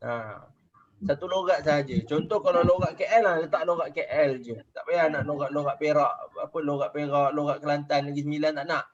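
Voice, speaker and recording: A young man with a Malaysian Malay accent leads a children's class over a video call, his voice sitting a little higher than most men's. He talks patiently, repeats his questions and checks often that the pupils follow. The audio comes through dull and muffled. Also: fairly even intonation, a little fast, crowd noise. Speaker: religious teacher. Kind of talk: online class teaching children.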